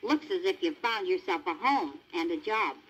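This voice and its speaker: A woman voicing a friendly, grateful young husband in a storybook, speaking heartily in a slightly lowered voice. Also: expressive, normal speed, breathy edge, muffled recording.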